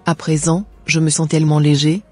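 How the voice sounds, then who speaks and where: A man voicing a meek, higher-pitched young woman. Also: flat delivery, a little fast, soft background music, natural voice. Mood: relieved. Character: meek, polite young woman, grateful believer.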